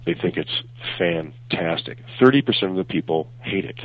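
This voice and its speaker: A man with a cold and a raspy voice, chatting casually and animatedly about his film work. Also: deep voice, normal speed, no echo. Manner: chatty, animated, with many fillers and restarts. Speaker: film graphic consultant and co-host. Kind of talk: casual podcast chat. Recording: tinny phone line.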